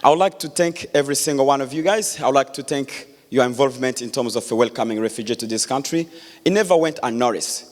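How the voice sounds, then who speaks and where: A man, giving an earnest public speech with many pauses and restarts. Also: medium pitch. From Democratic Republic of Congo. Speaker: guest speaker. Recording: echoey hall.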